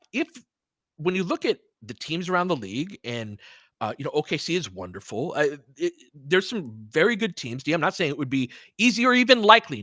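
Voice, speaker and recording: A man with a slightly high, very animated voice hosts live sports commentary. He talks emphatically and conversationally, like someone arguing a point among friends. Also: no room sound, clean studio sound. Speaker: sports show host. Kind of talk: casual live game commentary and discussion.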